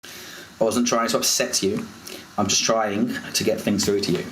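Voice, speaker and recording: A man hosting a casual livestream, excitable and often repeating his words and phrases. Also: lowish voice, moderate pace, slight background noise, rough recording. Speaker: livestream host.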